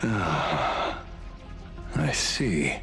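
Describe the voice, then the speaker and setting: Low, weary, grim detective. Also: male voice, sing-song, extremely slow, gravelly, pushed and bright, heavily acted. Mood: somber, resigned. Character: world-weary middle-aged male detective, grim and resigned. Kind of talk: dramatic game voice acting. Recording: music behind the voice.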